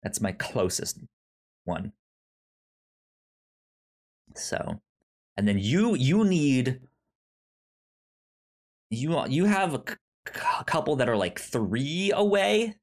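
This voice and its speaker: Stammering podcast host, lively swooping pitch. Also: takes it slowly, long pauses. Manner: stammering, repeats syllables and words. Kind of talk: casual film review chat.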